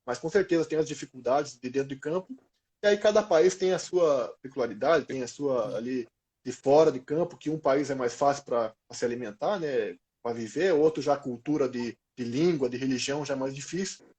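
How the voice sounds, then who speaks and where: A man's voice: medium pitch, fairly quick, darkish tone. A man in his thirties with a Brazilian Portuguese accent, telling his experiences as an athlete in an informal, slangy interview chat. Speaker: former professional footballer being interviewed.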